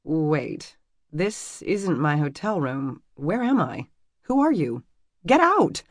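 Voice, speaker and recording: A narrator voicing a flustered, sharp-tongued young woman doctor who bursts out in rapid, exasperated lines in an audiobook. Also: mid-range voice, lively intonation, dry recording, clean studio sound. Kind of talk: audiobook character voice.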